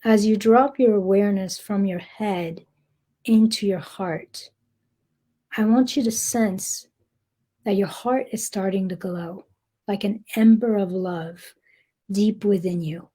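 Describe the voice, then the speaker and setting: Slow, breathy woman guiding a meditation. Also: mid-range voice. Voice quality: soft, breathy. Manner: gentle, deliberate, with long pauses. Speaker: meditation guide. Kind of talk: guided meditation, slow soothing narration.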